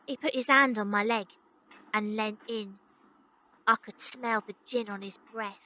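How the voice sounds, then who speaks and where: Actress as bright, plucky young runaway. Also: female voice, mid-range voice, steady tone, measured pace, poor audio. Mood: reflective, wry. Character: plucky young Victorian circus runaway narrating her story. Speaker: lead actress and narrator. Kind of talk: first-person audio drama narration.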